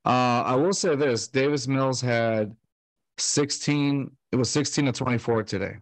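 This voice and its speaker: A man's voice: mid-range voice, measured pace, no room sound. Rambling man on a dry home mic. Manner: rambling with many fillers and restarts. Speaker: podcast co-host. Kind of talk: casual sports chat. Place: home room, video call. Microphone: studio mic on stand with headset.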